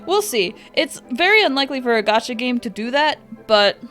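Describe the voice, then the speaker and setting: A woman's voice: sits high, sing-song, fairly quick. A woman game streamer giving casual, chatty live commentary with trailing-off asides. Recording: soft game music behind.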